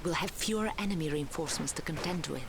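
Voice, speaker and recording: An actress playing a determined Polish partisan commander in a military game, giving deliberate orders in a Polish accent. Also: female voice, low voice, creaky. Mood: resolute, commanding. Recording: battle noise behind the voice.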